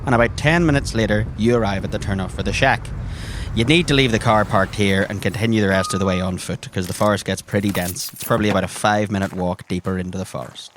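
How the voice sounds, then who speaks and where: A man's voice: fairly low pitch, fast talker, fairly bright tone, slight background noise. A man working as game master, narrating scenes descriptively for a tabletop role-playing game.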